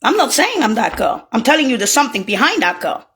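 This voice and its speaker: Lively-pitched woman in a profane, rambling rant. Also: fast talker. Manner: profane, scattered ranting with sudden shouts. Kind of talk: rambling solo monologue.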